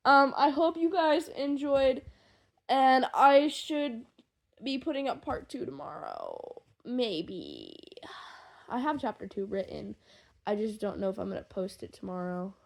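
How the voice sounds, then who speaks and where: A young adult woman with a voice on the high side talks informally to her followers. She rambles along with excitable, gushing enthusiasm. Her wording is loose and full of slang, like a fan creator chatting off script. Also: measured pace, vocal fry. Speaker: podfic creator and fan fiction writer. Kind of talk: casual rambling chat to listeners.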